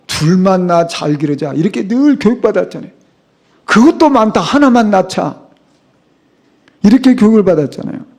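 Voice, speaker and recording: A man's voice: high-pitched, a little mellow. A middle-aged man preaching a sermon as a pastor, speaking emphatically and asking the congregation rhetorical questions. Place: church sanctuary.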